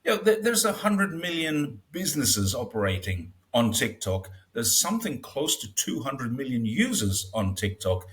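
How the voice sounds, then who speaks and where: A man's voice: mid-range voice, very animated, moderate pace, few pauses, a bit bright. A man as an interview guest, talking in a casual, wry way and acting out quotes with short laughs. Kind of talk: casual conversational commentary.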